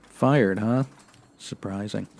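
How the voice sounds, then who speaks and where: An American man playing a stern, by-the-book police sergeant in a 1950s radio detective parody, clipped and deadpan. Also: lowish voice, takes it slowly, gravelly. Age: middle-aged.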